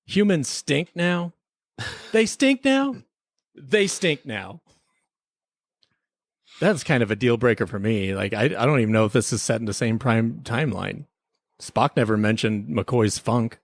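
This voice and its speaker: Male podcast host, casual review chat, joking and mock-serious, mid pitch, dry recording. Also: lively intonation, a little slow, vocal fry, pauses often, no room sound.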